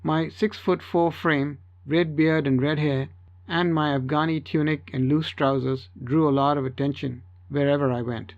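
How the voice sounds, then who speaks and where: A man's voice: on the high side, fairly even intonation, normal speed, highs cut off. A man reading a scripted first-person story as an audiobook narrator.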